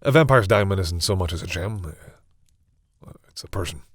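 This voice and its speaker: A man playing a centuries-old vampire in an intimate audio roleplay, speaking formally but haltingly in a husky, breathy voice. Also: sits low, lively intonation, a bit bright, no room sound, clean studio sound, full character voice. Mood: earnest, explaining. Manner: hesitant, halting, formal phrasing. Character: centuries-old male vampire, courteous, hesitant, commanding with servants.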